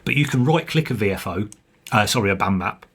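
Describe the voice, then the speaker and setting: A man with a fairly low, husky voice gives an informal software demonstration. He explains things step by step as a developer would, often pausing mid-sentence to find the next phrase. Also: expressive.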